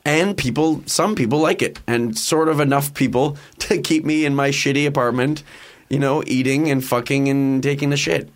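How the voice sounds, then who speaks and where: A Canadian man, a musician guest in a casual interview, rambles through his thoughts with constant fillers and restarts. He jokes and banters easily. His voice sits at an ordinary middle pitch. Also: normal speed. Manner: rambling, joking, lots of fillers and restarts, laughs often.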